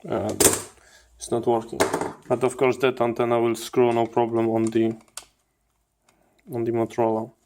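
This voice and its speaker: A man giving hands-on teardown commentary as a channel host, in halting phrases with repeated words. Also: medium pitch, completely flat delivery, dark tone, slight background noise. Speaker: host of a radio electronics channel.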